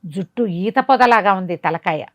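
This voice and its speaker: A woman telling a bedtime tale in an animated, conversational way, dramatically and with rhetorical questions. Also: medium pitch, normal speed, no room sound. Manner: dramatic, asks rhetorical questions as she narrates. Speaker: storyteller.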